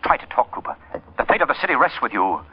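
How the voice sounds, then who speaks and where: An actor playing a mysterious, commanding crime fighter with urgent, dramatic delivery in old radio drama style. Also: male voice, mid-range voice, very animated, fast talker, a little hiss or hum, close to an everyday voice. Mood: urgent pleading. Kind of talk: 1930s-40s radio drama acting. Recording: muffled vintage radio recording.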